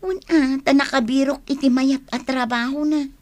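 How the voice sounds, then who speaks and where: A woman's voice: sits high, fairly even intonation, moderate pace, lightly acted. A woman playing a gossipy, envious village wife in a radio drama, speaking with an Ilocano accent in a prodding, exclamatory way. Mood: nagging.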